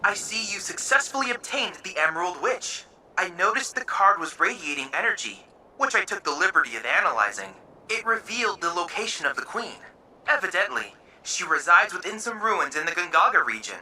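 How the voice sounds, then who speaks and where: An actress playing a clever, formal analyst in a video game, speaking in precise, wordy, grandiose phrases. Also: female voice, fairly low pitch, big pitch swings, fairly quick, bright, forceful tone, full character voice. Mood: grandiose, eager. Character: clever, formal analyst heralding a saga's climax. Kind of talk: video game cutscene acting. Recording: distant, with faint background noise.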